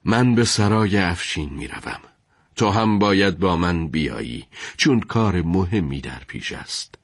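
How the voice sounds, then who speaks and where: A man's voice: sits low, lively intonation, breathy edge, dry recording, studio quality, voice pushed down, exaggerated voice. A man playing an anxious, wise judge in a dramatised historical story, grave, formal and deferential in manner. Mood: resolute. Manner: grave, formal, worried delivery.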